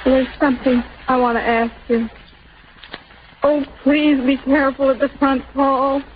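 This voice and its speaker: A weak, worried wartime mother in an old radio drama. She speaks in a high voice, tender and tearful, with halting phrases. The 1930s broadcast is muffled and very noisy. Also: little pitch movement. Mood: anxious, pleading. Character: frail, starving, anxious mother of a young German soldier.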